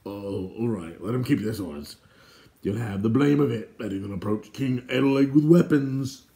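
A gruff, bickering dwarf guard from a fantasy tale, put on by a male narrator. He is loud and quarrelsome, barking his words out. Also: medium pitch, moderate pace, reverberant, natural voice. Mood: grudging. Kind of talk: fantasy storybook character voice.

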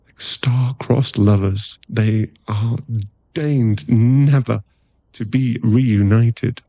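A man playing a playful, teasing boyfriend in an intimate ASMR roleplay, talking haltingly and acting mock-offended and cajoling. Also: medium pitch, mellow, dry recording, dull audio. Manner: teasing, halting, intimate one-sided chat.

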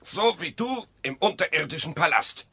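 An actor playing a needling, evasive husband in a radio play, with a dry, measured, goading manner. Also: male voice, on the high side, animated, creaky, bright, forceful tone, husky, no echo, exaggerated voice.

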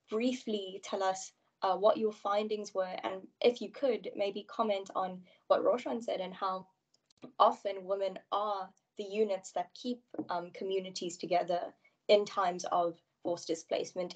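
A woman with a South African accent moderating a panel, politely bringing in speakers and posing questions. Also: medium pitch. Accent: South African English. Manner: polite, formal question-asking. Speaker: panel facilitator, chairperson. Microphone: video call. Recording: dull lo-fi video call audio.

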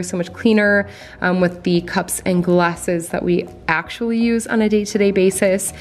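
A young adult woman chatting casually to viewers in a voice-over, enthusiastic and friendly. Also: fairly low pitch. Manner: chatty, enthusiastic, addresses viewers as you guys. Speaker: home vlogger. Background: soft background music.

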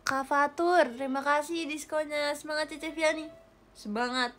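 A woman's voice: high-pitched, steady tone, normal speed, fairly bright tone, soft background music. A young woman chatting casually with viewers on a livestream, giggling often and thanking them for gifts. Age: young adult. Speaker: idol livestreamer. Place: small bedroom with a gaming chair. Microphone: webcam or phone at close range.

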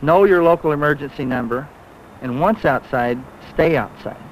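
A man in his forties giving a prepared safety briefing as a firefighter, deliberate and instructive. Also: on the high side. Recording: noisy old film soundtrack.

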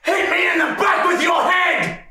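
A man's voice: very high voice, fairly even intonation, a little slow, some chatter behind, exaggerated voice. A man playing an unhinged, abusive, demanding partner in a prank call comedy, screaming crude orders. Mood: enraged, demanding. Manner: screaming, foul-mouthed, unhinged. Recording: shouting from off mic, echoey, noisy.

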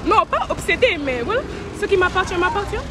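A woman's voice: extremely high pitch, very animated, a little fast, over music. A young woman with a Senegalese accent answering street-interview questions boldly and cheekily. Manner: bold, cheeky, mixing French and Wolof. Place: city street outdoors. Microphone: interviewer's phone. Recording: noisy street with crowd.

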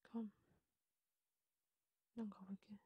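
A woman voicing a cautious, scheming vampire in a hushed, breathy ASMR whisper, unhurried and conspiratorial. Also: medium pitch, little pitch movement, no room sound, muffled recording. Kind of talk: whispered ASMR storytelling role-play.

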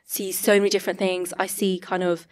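A woman's voice: lowish voice, flat delivery, brisk. Nasal-sounding woman guest with a cold. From Great Britain. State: has a cold. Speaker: podcast guest, dentist. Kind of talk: casual interview chat. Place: podcast studio with purple lighting, indoors. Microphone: studio mic on arm.

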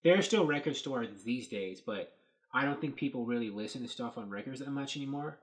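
A man's voice: medium pitch, expressive, a little fast. Rambling man musing at his webcam. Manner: rambling, thinking aloud with many fillers. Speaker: host. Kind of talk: casual commentary on set photos. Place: small dark room indoors.

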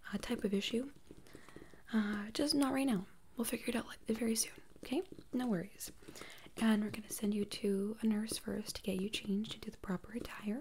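A woman speaking in a breathy whisper, playing a doctor in an ASMR exam roleplay with gentle, reassuring step-by-step instructions. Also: fairly high pitch, a little hiss or hum.

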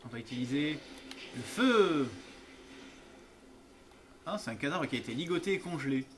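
A man's voice: fairly high pitch, expressive, normal speed, fairly bright tone, a little hiss or hum. A man commenting casually and playfully while he plays a game, joking and laughing often. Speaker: streamer playing a horror game.

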